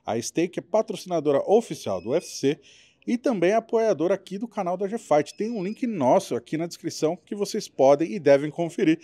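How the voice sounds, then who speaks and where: A man in his thirties hosting an interview from a small home studio with headphones and a studio mic, asking long multi-part questions with lively emphasis. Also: sits high, brisk, dry recording. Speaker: interviewer and show host. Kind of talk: video-call interview.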